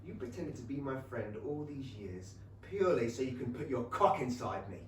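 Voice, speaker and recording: A man acting a devout, conflicted young gentleman in a staged play reading, earnest and emotional. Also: mid-range voice, big pitch swings, moderate pace, natural voice. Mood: angry. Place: small theatre, recorded from a distance. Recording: roomy, distant theatre recording, faint noise.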